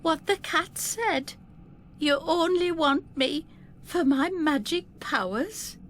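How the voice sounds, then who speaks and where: Casting: narrator as elderly daughter figure, raised pitch, slow and plaintive, wistful dignity, audio drama. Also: female voice, lively intonation, a bit bright, a little hiss or hum, exaggerated voice. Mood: hurt. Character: wistful old woman, dignified witch's daughter.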